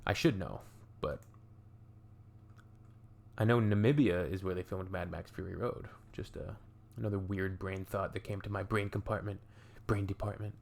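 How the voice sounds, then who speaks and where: A man's voice: deep voice, steady tone, normal speed, creaky, darkish tone. A young adult man from Canada hosting a reaction video, chatting in a wry, think-aloud way. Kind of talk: casual reaction commentary.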